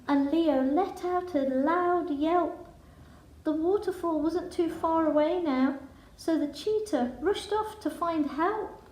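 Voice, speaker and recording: A woman reading a children's picture book in verse with a measured, dramatic storytelling delivery. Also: sits high, darkish tone. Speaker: storybook reader. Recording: echoey room.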